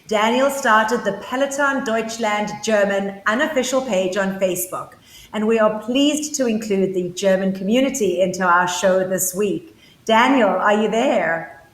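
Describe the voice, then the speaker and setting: A woman's voice: medium pitch, a little slow, echoey room. Enthusiastic South African woman host. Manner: enthusiastic, frequent fillers and restarts. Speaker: podcast co-host and interviewer. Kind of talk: casual chat.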